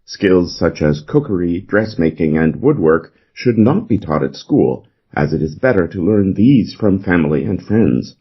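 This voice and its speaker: A man's voice: low voice, lively intonation, measured pace, hardly any pauses, dull audio. A man reading a scripted model essay aloud in the role of a reader for English exam practice.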